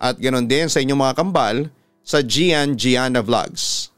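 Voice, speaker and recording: A man's voice: mid-range voice, a little slow, a bit bright, smooth, no echo. Filipino man reading letters over music. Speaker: radio announcer and story channel host. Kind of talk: dramatic reading of listener letters. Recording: music behind the voice. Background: background music.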